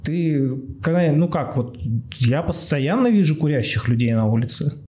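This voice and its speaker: A man's voice: on the high side, lively intonation, moderate pace, warm tone. A man hosting a casual, improvised podcast in a rambling, blunt way, repeating words for emphasis. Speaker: podcast host, doctor.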